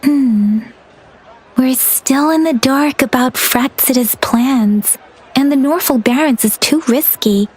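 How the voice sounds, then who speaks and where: A woman voicing a gentle, thoughtful young woman in a video game, speaking in a polite, earnest, measured way. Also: high-pitched, husky, full character voice, poor audio. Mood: pondering. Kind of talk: video game character dialogue.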